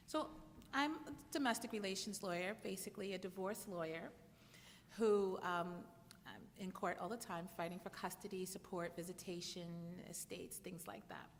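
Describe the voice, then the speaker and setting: A woman lawyer giving measured, earnest remarks on a conference panel, with frequent fillers. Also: fairly low pitch. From United States. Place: conference hall. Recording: long reverb with faint noise in a hall.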